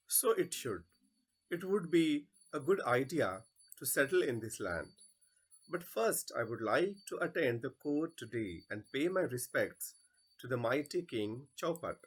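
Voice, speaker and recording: A man playing a polite, admiring disciple in a stage play, speaking in a courteous, measured way. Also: mid-range voice, very animated, husky. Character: polite, admiring male disciple visiting a kingdom.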